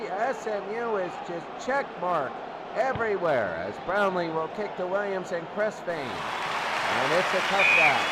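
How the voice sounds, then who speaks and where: A roaring crowd and heavy noise surround a man doing football play-by-play. His voice is high and excitable, and he repeats phrases for emphasis as he follows the action. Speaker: play-by-play announcer. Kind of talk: American football play-by-play commentary. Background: roaring stadium crowd.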